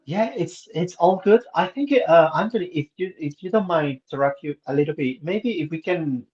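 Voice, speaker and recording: A man hosting an online event who politely and hesitantly asks the guest to pause so the attendees can introduce themselves. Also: on the high side, lively intonation, a little mellow, dull audio. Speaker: event host.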